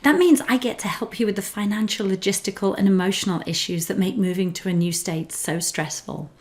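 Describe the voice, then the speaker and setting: A woman's voice: mid-range voice, a little fast. A woman with an English accent who grew up in northern England, speaking as a relocation specialist in an earnest, encouraging talk to camera. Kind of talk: scripted personal talk to camera.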